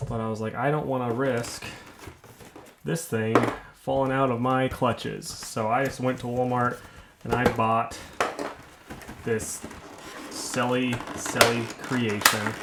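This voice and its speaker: A man with a gravelly voice chatting casually and rambling in a self-mocking way while unboxing a toy at home. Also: medium pitch, flat delivery, measured pace, long pauses, very noisy. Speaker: vlogger unboxing toys.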